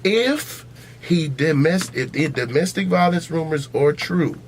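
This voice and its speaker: A man's voice: a little high, animated, measured pace, a little hiss or hum. An American man hosting a podcast in a casual, opinionated debate, blunt and profane, repeating himself for emphasis. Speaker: podcast host.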